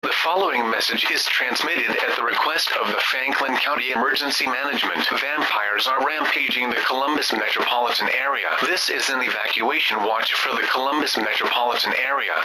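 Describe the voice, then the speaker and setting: A man's voice: medium pitch, a little fast, hardly any pauses, a loud crowd, close to an everyday voice, rough recording. A synthetic male voice reading emergency messages as a formal, impersonal alert announcer.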